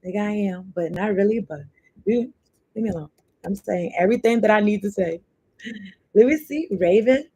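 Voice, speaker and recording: A woman's voice: mid-range voice, moderate pace, pauses often, mellow, slightly rough. A woman doing a casual tarot reading, chatty and rambling as she free-associates between cards and thoughts, swearing now and then. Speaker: tarot card reader.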